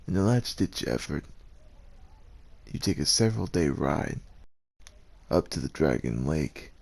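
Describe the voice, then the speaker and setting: A man's voice: rumbling low, creaky, husky. A man narrating a scripted ASMR story as a voice actor, addressing the listener directly.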